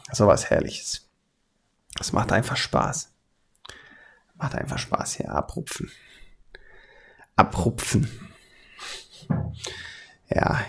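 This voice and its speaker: A man's voice: fairly low pitch, sing-song, takes it slowly, gravelly, pauses often, slightly rough. A man with a light southern German, Swabian accent, talking casually and colloquially as he hosts a hands-on tutorial. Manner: colloquial, chatty, chuckles often. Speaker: tutorial host, airbrush artist.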